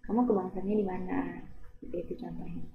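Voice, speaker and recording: A woman's voice: lowish voice, level tone, fast, vocal fry. A woman language teacher patiently explaining Turkish phrases, with frequent hesitations and repetitions. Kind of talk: explaining a Turkish lesson in Indonesian. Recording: tinny phone-like audio.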